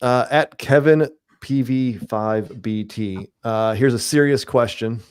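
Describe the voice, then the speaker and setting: A man hosting a casual podcast chat, thinking out loud about an upcoming event. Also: mid-range voice, slow, clean tone. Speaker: podcast host.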